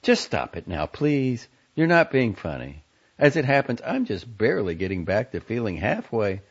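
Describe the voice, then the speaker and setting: A man's voice: fairly low pitch, sing-song, moderate pace, breathy edge, voice pushed up, heavily acted. A man reading aloud and voicing a young woman on the phone who is exhausted and exasperated. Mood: pleading. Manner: pleading, exasperated. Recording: dull lo-fi audio.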